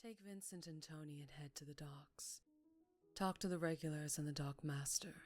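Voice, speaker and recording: A woman playing a dangerously charming female mafia boss in an ASMR roleplay, teasing and deliberate, often slipping into whispers. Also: sits low, soft background music, close to an everyday voice. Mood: commanding, hushed.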